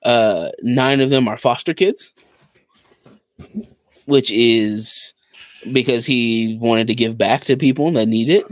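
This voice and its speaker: A man's voice: mid-range voice, fairly even intonation, slow, long pauses, no echo. A man with a mid-range voice talks casually as a podcast co-host. He retells things conversationally, and the recording is dry with no room sound. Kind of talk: casual chat, recounting.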